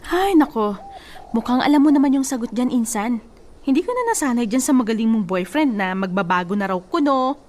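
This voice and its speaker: An actress playing a blunt, bossy female cousin in a radio drama, teasing and scolding with a sharp tongue. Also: fairly high pitch, lively intonation, fairly quick, a little hiss or hum, natural voice.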